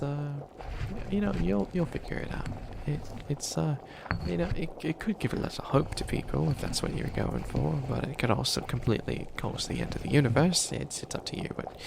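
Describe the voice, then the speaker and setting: A man playing a bumbling, kindly wicked witch in an ASMR roleplay, soft-spoken and hesitant, with a lot of stammering and rambling. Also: on the high side, gravelly, background noise. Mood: nervous, supportive. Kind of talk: ASMR roleplay, improvised comedic monologue.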